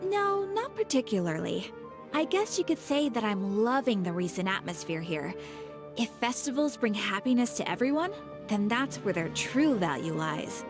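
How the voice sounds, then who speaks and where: A woman voicing a relaxed young receptionist on her break, casual and a little philosophical. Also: mid-range voice, moderate pace, over music. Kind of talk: game cutscene voice acting.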